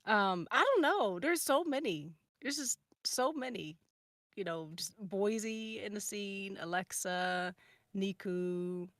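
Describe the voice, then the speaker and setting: Woman, slow unhurried delivery, dry recording, hesitant, rambling, casual podcast chat. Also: mid-range voice, animated, a bit bright, no echo. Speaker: podcast panelist.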